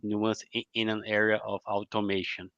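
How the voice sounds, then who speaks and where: Low-voiced man on dull lo-fi audio. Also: completely flat delivery, fairly bright tone, no echo. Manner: halting, careful phrasing. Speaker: co-host reading audience questions.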